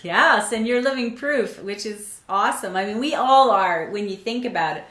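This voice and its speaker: A woman's voice: mid-range voice, normal speed. A woman hosting an interview, talking in a casual, affirming way with frequent restarts. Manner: warm affirming, many restarts and fillers. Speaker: host. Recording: roomy echo.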